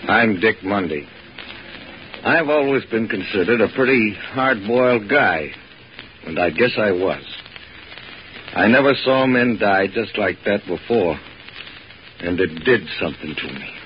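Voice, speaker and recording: An actor playing a hard-boiled soldier shaken by what he saw, narrating slowly and low. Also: animated, slight background noise. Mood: haunted. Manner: slow, low, haunted narration. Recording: distant dull lo-fi radio recording.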